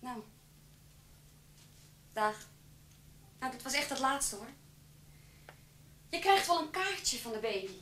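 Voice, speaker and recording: Brittle, high-pitched proud woman. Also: animated, takes it slowly, long pauses, a bit bright, slight background noise, exaggerated voice. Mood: brittle, hurt pride. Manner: curt, brittle, insisting she copes. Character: brittle, proud pregnant ex-girlfriend. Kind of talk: naturalistic Dutch radio drama.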